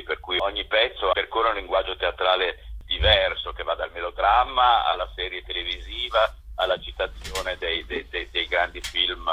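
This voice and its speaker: A man's voice: low-pitched, sharp tone, a little hiss or hum. A man, a theatre co-director, speaking as a guest in a radio interview by phone, hesitant and often repeating words as he searches for them. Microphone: telephone. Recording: tinny phone line.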